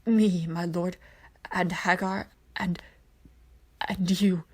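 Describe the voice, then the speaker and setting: A woman voicing a frightened, cowed male alien commander in a fan fiction reading, hoarse, pleading and stammering under strain. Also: fairly low pitch, steady tone, slow. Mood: nervous, strained.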